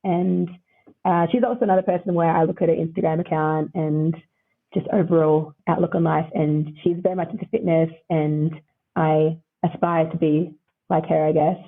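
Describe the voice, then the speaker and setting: A woman with a croaky voice, chatting upbeat as a podcast guest and laughing often between answers. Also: sits low, fairly even intonation, moderate pace. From Australia. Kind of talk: casual interview chat. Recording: tinny phone-like line.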